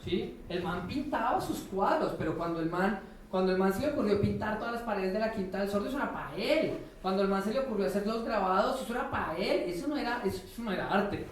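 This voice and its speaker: Very echoey distant recording, Colombian male professor, slightly high voice, informal slangy lecture, irreverent. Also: fast. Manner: frequent tag questions checking with listeners. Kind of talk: informal conference talk. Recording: echoey room, distant mic, faint noise.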